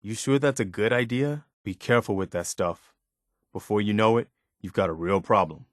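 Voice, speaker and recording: Male actor as principled American game companion, militia type: lowish, dry close sound, easygoing, caring, plainspoken. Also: normal speed, dry recording, close to an everyday voice. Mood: gently concerned. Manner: earnest, easygoing, plainspoken, gently moralizing. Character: earnest, principled militia companion, adult man, loyal and caring. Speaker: video game companion character. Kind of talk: video game companion voice lines.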